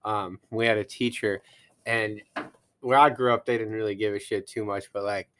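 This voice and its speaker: A man's voice: on the low side, steady tone, normal speed, a bit bright, a little hiss or hum. Laid-back young man hosting a studio podcast. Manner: laid-back, rambling with fillers. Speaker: podcast host. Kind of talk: casual studio chat. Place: recording studio.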